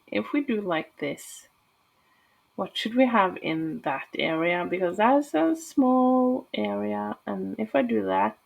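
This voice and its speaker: A woman's voice: fairly low pitch, vocal fry, phone-quality sound. A woman from Norway with a Norwegian accent, talking casually and hesitantly through a game build with many fillers. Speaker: gaming channel host.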